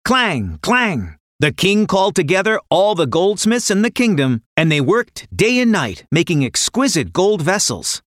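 A man's voice: high voice, very animated, unhurried, hardly any pauses, a bit bright, no room sound, clean studio sound. A man narrating a children's fairy tale in a dramatic, playful storytelling manner. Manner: dramatic, playful sound words.

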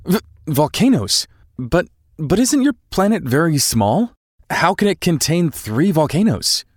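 Man as an astonished pilot, swooping pitch. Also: very animated, measured pace, husky, dry recording, studio quality, heavily acted. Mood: incredulous. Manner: incredulous, stuttering in surprise. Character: astonished, friendly adult male pilot. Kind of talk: acted children's audio fairy tale.

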